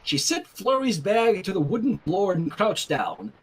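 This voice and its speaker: A man's voice: high-pitched, sing-song, unhurried, husky. A man reading fan fiction aloud, stopping for wry, casual asides. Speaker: story reader.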